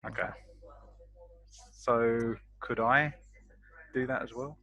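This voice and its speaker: Bright-voiced man at a game table. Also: medium pitch, fairly even intonation, slow, no echo, low-quality recording. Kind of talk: casual game-table chat.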